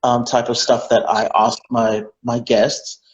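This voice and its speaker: A man's voice: medium pitch, little pitch movement, measured pace. Guy hosting a video call interview, lots of ums, muffled roomy sound. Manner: chatty, effusive, many fillers and restarts. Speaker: interview host. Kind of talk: online interview. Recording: dull, echoey video call.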